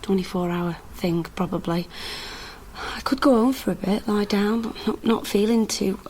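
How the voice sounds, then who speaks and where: An actress playing a frank probate researcher in a radio drama, informal and direct in manner. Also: female voice, mid-range voice, moderate pace, darkish tone, a little hiss or hum, lightly acted, rough recording. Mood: subdued, evasive.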